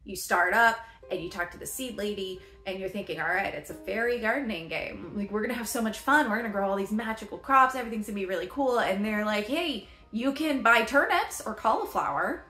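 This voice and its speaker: A woman in her thirties chatting through a casual video game review from her living room, animated and laughing often. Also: mid-range voice, fairly quick, few pauses, reverberant, soft background music. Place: home living room, seated in a chair.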